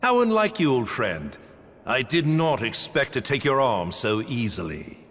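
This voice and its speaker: A man's voice: medium pitch, big pitch swings, a little slow, fairly bright tone, exaggerated voice. A man playing a bitter, treacherous soldier in a video game cutscene, speaking with scornful, theatrical taunts. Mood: cold mockery. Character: bitter, treacherous soldier turned on his old friend. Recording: reverberant hall, music behind.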